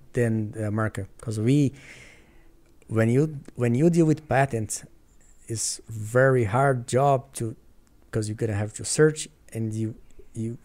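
A man's voice: mid-range voice, a little mellow. A man from Brazil with a Brazilian Portuguese accent, a guest in a casual interview, talking earnestly in English with frequent restarts.

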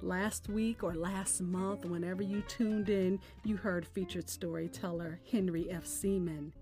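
A woman hosting a podcast, delivering scripted announcements and poetry in a deliberate, expressive way. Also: on the low side. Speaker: podcast host. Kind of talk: scripted show sign-off and poetry recitation. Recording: music bed under the voice. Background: music.

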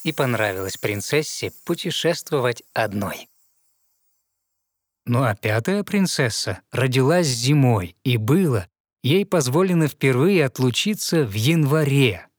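A man narrating a fairy tale in verse, with measured, rhythmic storytelling. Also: medium pitch, animated, dry recording, studio quality.

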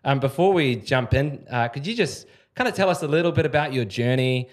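A man's voice: medium pitch, fairly quick. A man hosting a faith podcast in a studio, chatting casually with many filler words. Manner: relaxed, frequent filler words. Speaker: podcast host. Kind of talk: casual interview chat.

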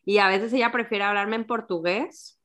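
A woman from Guadalajara speaks. She chats casually and tells her stories with lively quoted bits of talk. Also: medium pitch, moderate pace. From Guadalajara, Mexico. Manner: animated storyteller, acts out quotes. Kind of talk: casual chat.

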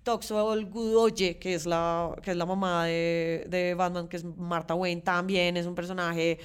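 A woman's voice: fairly low pitch, little pitch movement, a little slow, smooth. Colombian woman chatting casually, thinking aloud. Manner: informal, hesitant, repeats words while thinking. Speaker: podcast co-host. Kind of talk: casual chat about pop culture.

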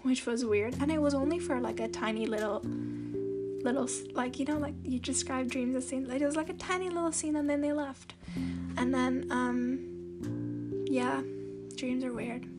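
A teenage girl with a soft, youthful, baby-like voice, hosting a podcast at home in a chatty, meandering way with lots of "like" and restarts. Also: high voice, normal speed. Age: teens. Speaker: podcast host. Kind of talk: casual rambling podcast monologue. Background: loud guitar music.

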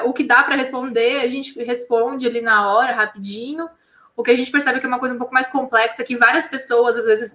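A woman with a bright, fairly high voice talks about her science channel in a lively, slangy way. Her words ring around an echoey room. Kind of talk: casual interview talk.

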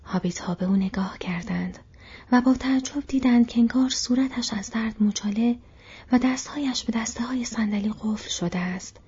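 A woman narrates a storybook in a hushed, breathy whisper. Her tone is dark and mellow, and the reading stays soft throughout. The recording is dull and lo-fi. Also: on the high side. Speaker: audiobook narrator.

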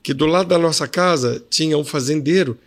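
A man's voice: on the high side, brisk. Storytelling man with a local Pará accent. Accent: Pará Portuguese. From Brazil. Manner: storytelling, animated anecdotes. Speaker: podcast guest. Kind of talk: casual interview chat.

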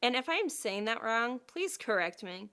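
A woman's voice: fairly high pitch, big pitch swings, normal speed, bright, forceful tone, smooth. A woman co-hosting a podcast, talking in a chatty, thoughtful way and laughing easily. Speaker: podcast co-host. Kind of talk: casual podcast chat.

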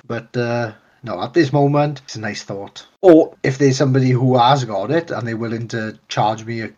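A man's voice: mid-range voice, darkish tone, muffled recording. A man co-hosting a podcast in easy, friendly chat with teasing banter. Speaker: podcast co-host. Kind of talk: casual chat.

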